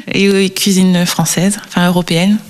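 A woman in her thirties, a guest on an interview program. She tells her personal story slowly and thoughtfully. Her speech is full of hesitations and soft pauses. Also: medium pitch, rough recording. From France (Marseille, Réunion). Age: 30s. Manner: hesitant, reflective, many fillers.

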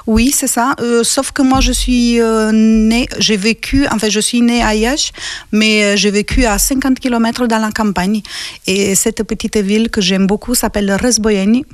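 Romanian-accented woman, 40s, lots of ums and pauses, dry sound. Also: on the high side, steady tone, no room sound. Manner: hesitant, many pauses and fillers. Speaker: guest. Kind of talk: interview conversation.

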